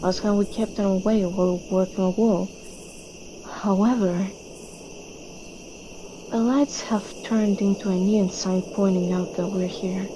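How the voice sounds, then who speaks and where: A woman's voice: mid-range voice, fairly even intonation, unhurried, long pauses, background noise, natural voice. A woman playing an anxious, driven young female leader in a webtoon fan dub, speaking with earnest, emphatic intensity. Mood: tense, subdued.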